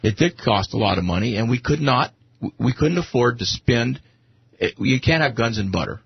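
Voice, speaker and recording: A man's voice: mid-range voice, animated, normal speed, creaky, breathy edge, no room sound, dull audio. An American man co-hosting a talk radio show, putting pointed, challenging questions to his guest. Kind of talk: argumentative talk radio interview.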